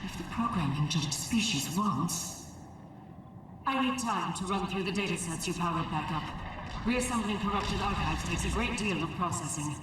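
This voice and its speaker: A woman's voice: on the low side, expressive, background noise, lightly acted. Echoing, guarded station AI voiced by a woman. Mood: clinical, guarded. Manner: clipped system announcements, deliberate and dry. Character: resentful female station AI, guarded, coldly clinical and weary. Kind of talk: video game cutscene acting. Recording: very echoey, distant, music behind.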